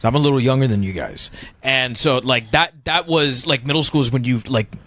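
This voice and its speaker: A man's voice: medium pitch, brisk, fairly bright tone, no echo. A man in his early thirties co-hosting a casual podcast chat, candid and self-mocking. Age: 30s. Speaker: podcast co-host.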